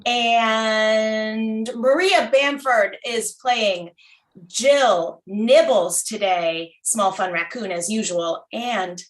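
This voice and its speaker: A woman's voice: on the high side, extremely slow, far from the mic. A woman casually introducing a cast at a table read, recorded in a roomy space away from the microphone.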